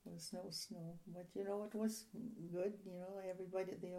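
An older woman from a Ukrainian-speaking home, reminiscing in an oral history interview in a soft, halting, rambling way. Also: lowish voice, flat delivery, low-quality recording.

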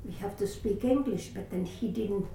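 An older woman with a Latvian accent, her first language, telling her life story in an oral history interview. She speaks haltingly, searching for words and restarting often. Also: mid-range voice, gravelly, mellow. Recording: roomy echo, distant mic, faint hiss.

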